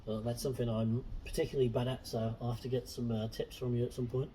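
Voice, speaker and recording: A man hosting a casual interview chat, relaxed and friendly with frequent fillers. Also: medium pitch, fast talker, recorded from a distance, slight background noise, rough recording. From Southern Hemisphere. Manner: relaxed, friendly, many ums. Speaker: host.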